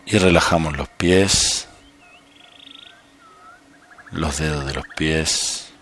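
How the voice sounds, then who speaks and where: A man leading a guided meditation as a coach, speaking calmly and without hurry, with long pauses between short instructions. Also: extremely low pitch. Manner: calm, unhurried, leaves long pauses.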